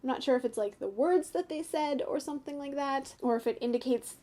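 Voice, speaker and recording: A woman's voice: sits high, fairly quick, dark tone. A young North American woman chatting casually to viewers in a fan analysis, giggly and tentative as she thinks aloud. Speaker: YouTube host giving fan commentary.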